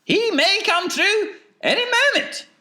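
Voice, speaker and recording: A man voicing an eccentric, excitable, flamboyant male chocolate maker from a storybook in a theatrical, exclamatory way. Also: very high-pitched, a little slow, pitched above the performer's own voice, full character voice. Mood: anxious. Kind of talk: storybook character reading.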